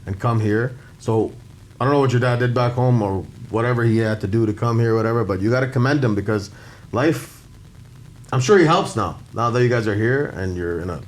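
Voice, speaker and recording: A male podcast host talking fast and with lots of energy. He drops Arabic words into casual conversation and gets louder and higher when he is fired up. His voice sits at an ordinary middle pitch. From Canada.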